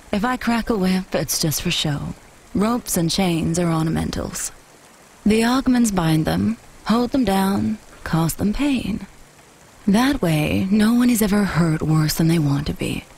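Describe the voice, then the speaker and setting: A woman playing a brisk, self-assured dominatrix in a video game, formal, clipped and commanding. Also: medium pitch, lively intonation, vocal fry, darkish tone, slight background noise, rough recording.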